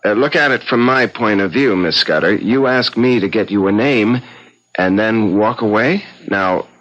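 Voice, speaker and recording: A man's voice: on the low side, normal speed, a bit bright, lightly acted. An actor playing a brash, glib, money-hungry agency man in a 1970s radio drama. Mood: slick, probing. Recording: muffled old radio broadcast.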